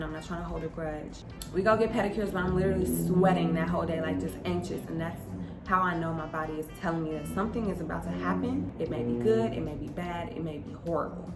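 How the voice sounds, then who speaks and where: A woman's voice: on the low side, roomy sound. A young adult woman vlogger telling a personal story in a casual, conversational way, with emphasis when she quotes exchanges.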